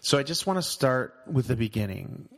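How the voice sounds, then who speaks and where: A man's voice: mid-range voice, lively intonation, moderate pace. A man hosting a podcast, enthusiastically introducing and questioning his guest. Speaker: podcast host. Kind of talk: podcast interview.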